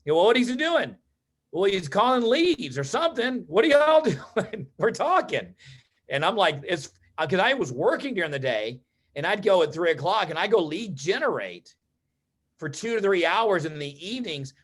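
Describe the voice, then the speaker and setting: A man's voice: sits high, sing-song, normal speed, a bit bright. American man telling his story casually. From Texas, United States. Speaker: interview guest, real estate agent and coach. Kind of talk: casual personal storytelling in an online meeting.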